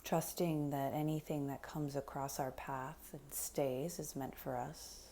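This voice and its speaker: A woman leading a guided meditation in a soft, breathy, unhurried voice that often nears a whisper, giving gentle, soothing instructions. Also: extremely low pitch, steady tone, slight background noise.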